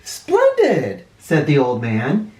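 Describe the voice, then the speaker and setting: A man reading a storybook aloud like a schoolteacher, expressive and deliberate, with dramatic emphasis. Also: fairly high pitch. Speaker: first grade teacher. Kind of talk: animated storybook read-aloud for children. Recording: roomy, echoey indoor sound.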